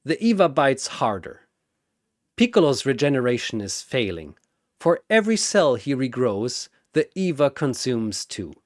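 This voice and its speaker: A man delivers dramatic battle narration at a deliberate pace. His theatrical voice swings widely in pitch and builds suspense, in a close, dry recording. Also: very animated, no room sound.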